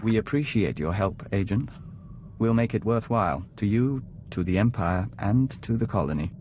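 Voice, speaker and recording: An actor playing a calm, courteous diplomat in a video game, polite and measured. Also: male voice, fairly low pitch, a little hiss or hum, lightly acted. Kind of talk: video game cutscene acting.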